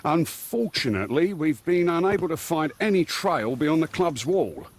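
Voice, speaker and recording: An actor playing a confident, skeptical Victorian police inspector in a video game, British, explaining things in an assured way. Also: male voice, a little high, lively intonation, normal speed. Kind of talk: scripted video game acting.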